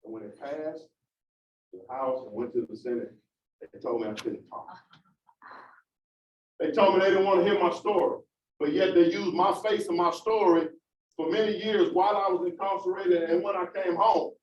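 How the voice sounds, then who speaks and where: A man speaks out with fervent, repetitive emphasis, his voice pitched high. He is caught from a distance in a roomy hall on a dull, muffled recording, with a faint hiss behind him. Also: normal speed, pauses often. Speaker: formerly incarcerated community speaker. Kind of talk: impassioned panel remarks. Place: large meeting room.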